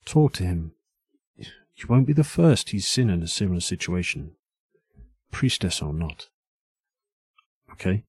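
A man playing a stern but caring priest and father in an audio drama, speaking with grave, measured authority. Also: deep voice, sing-song, darkish tone, slightly rough, no echo, exaggerated voice. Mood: concerned, gentle.

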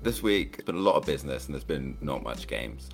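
Deep, animated co-host man. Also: brisk, vocal fry, faint music behind. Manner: playful, animated and self-mocking. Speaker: podcast co-host. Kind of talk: casual podcast chat.

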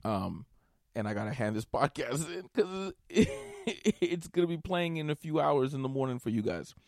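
Gravelly, profane young man podcasting. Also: fairly high pitch, moderate pace, no room sound, clean studio sound. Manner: rambling, profane, animated asides. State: tired, awake all night. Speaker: podcast host. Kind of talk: casual solo podcast monologue.

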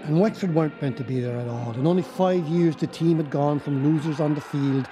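A man's voice: a little high, fairly quick. A man reading scripted documentary narration.

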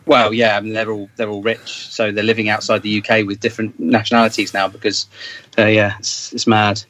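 A man's voice: fairly low pitch, little pitch movement, fairly quick, fairly bright tone, rough recording. A British man chatting casually as a band member in an interview, rambling and thinking aloud as he goes.

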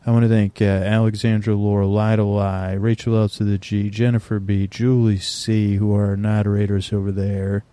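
A man hosting a sleep podcast, talking in a meandering, halting way full of restarts and fillers, recorded on location in a quieter corner of an airport. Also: on the low side, level tone, clear, resonant tone, no echo. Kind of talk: rambling bedtime podcast talk.

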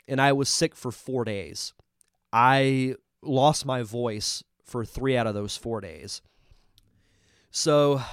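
Man, podcast host, congested but smooth voice, dry close sound, chatty and upbeat. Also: medium pitch, no room sound. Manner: chatty, enthusiastic rambling. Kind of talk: casual live-stream review.

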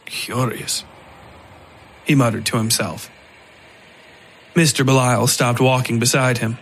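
A man reading scripted dark fiction as the narrator of a story podcast. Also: mid-range voice, fairly even intonation, moderate pace. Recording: faint noise behind the voice.

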